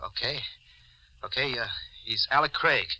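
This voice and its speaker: A man's voice: medium pitch, a little slow, pushed and bright, no room sound. An American actor playing a nervous, cornered man who narrates his own crime story in 1940s hard-boiled radio style. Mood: nervous, evasive. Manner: jittery, hesitant in dialogue, hard-boiled in narration. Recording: noisy vintage 1940s radio recording.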